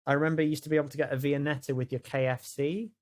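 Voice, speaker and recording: A man's voice: fairly high pitch, fairly even intonation, rapid-fire. Man, British accent, casual improvised roleplay, emphatic, exasperated, repetitive. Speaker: player in a tabletop roleplay podcast.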